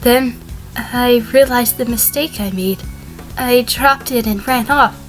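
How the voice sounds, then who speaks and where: Woman acting high-voiced, defensive sheep defendant. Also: slow, soft background music, low-quality recording. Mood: explaining. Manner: guarded, careful explaining. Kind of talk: fan audio drama acting, courtroom testimony.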